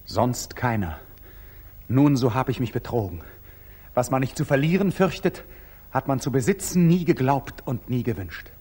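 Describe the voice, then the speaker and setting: Husky, intense young knight. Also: male voice, medium pitch, expressive, normal speed, a little mellow. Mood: bitter, wounded. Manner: intense, abrupt, declamatory. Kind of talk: 1940s German radio stage acting. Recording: old 1940s radio drama recording.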